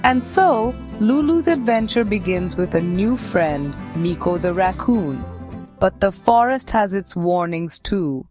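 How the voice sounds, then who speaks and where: A woman narrates a children's story in an unhurried way. She hosts for young listeners and reads from a script, with soft music behind her voice. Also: mid-range voice, lively intonation.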